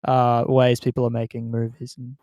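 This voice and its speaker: A man's voice: medium pitch, monotone, moderate pace, dark tone, no echo, clean studio sound. A man, hosting a casual podcast chat among friends. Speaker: podcast host.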